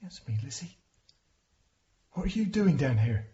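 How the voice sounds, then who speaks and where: A bewildered young brother in a radio drama. His voice is soft-toned and hushed, with hugely varied pitch as he questions anxiously. The recording is a little dull. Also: big pitch swings, unhurried. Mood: worried, puzzled.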